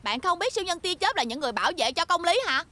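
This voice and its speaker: High-pitched bossy little cartoon girl. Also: sing-song, rapid-fire, heavily acted. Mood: cocky, proud. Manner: bossy, emphatic, theatrical. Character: bossy, spirited little girl playing superhero leader. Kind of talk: dubbed children's cartoon acting. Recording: loud music behind the voice.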